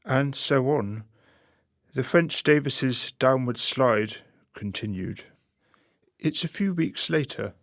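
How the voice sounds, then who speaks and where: A man playing a sly, wry narrator of a satirical radio play, confiding in the listener in a deliberate way. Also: mid-range voice, expressive, no echo, studio quality. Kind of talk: scripted dramatic narration.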